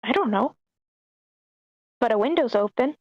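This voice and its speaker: A woman's voice: a little high, animated, a little slow, no echo, highs cut off. A woman voicing an eager, simple henchman who defers to the boss, in a script table read. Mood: puzzled.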